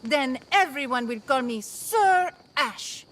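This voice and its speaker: A woman playing an eager, boastful young would-be knight in a video game, excitable and earnest. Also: high voice, slow, slight background noise, heavily acted. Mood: proud, determined. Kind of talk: video game character dialogue.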